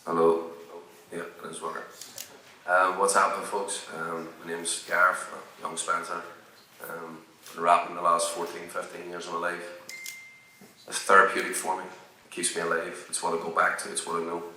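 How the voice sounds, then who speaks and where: Deep flat-voiced young rapper, heartfelt. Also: male voice, normal speed, a little hiss or hum. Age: young adult. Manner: heartfelt, motivational, rambling. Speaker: panelist, rapper. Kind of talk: casual panel talk. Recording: echoey hall, mic far off.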